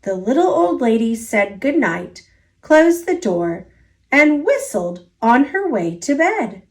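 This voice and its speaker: A high-pitched woman with an American accent reads a picture book for young children. She takes it very slowly, with expressive, deliberate phrasing and a rhythmic storytelling delivery. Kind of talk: read-aloud children's story narration, partly sing-song.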